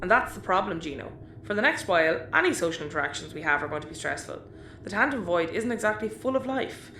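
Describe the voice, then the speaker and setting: A woman's voice: lowish voice, big pitch swings, quick pace, pushed and bright, heavily acted. A woman playing a sensible, dry-humoured spaceship crewmate, matter-of-fact and a little bossy, in a sci-fi audio comedy. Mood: exasperated, practical. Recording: echoey room, faint background noise.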